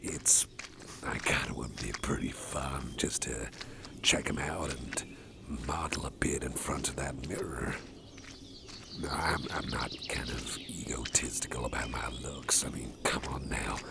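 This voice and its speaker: A man voicing a huge, intimidating male boss who is gruff but protective, chatting and rambling in an ASMR roleplay with a deep, gravelly voice. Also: unhurried, heavy background noise, heavily acted. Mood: easygoing. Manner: rambling, chatty, chuckles to himself. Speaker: boss character carrying the listener. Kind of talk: ASMR roleplay, personal attention.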